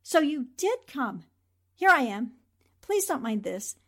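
A woman's voice: high voice, fairly bright tone, full character voice. A woman playing a plucky, wisecracking young newspaperwoman sleuth in a 1940s-style radio drama, with a flippant, arch way of talking. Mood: nervous, flippant. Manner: talks to herself, flippant, arch.

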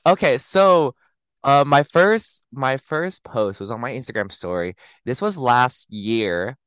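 Slightly raspy and smooth in tone, a man in his late twenties chats casually as a podcast host. He is animated and giggly, and his close, dry recording has no room sound. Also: mid-range voice, slow pace, no room sound. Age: 20s. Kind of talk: casual chat.

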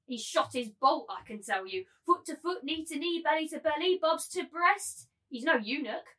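A woman's voice: high voice, animated, moderate pace, pushed and bright. A woman playing a cruel, domineering brothel keeper and auctioneer in a dramatised literary reading, commanding, taunting and theatrical. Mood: scornful, ruthless.

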